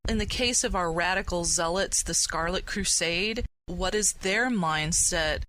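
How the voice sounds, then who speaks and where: A woman's voice: lowish voice, a little slow, sharp tone, rough recording. A woman hosting a conversational panel discussion in an earnest, reflective way. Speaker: podcast host. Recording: noticeable background noise.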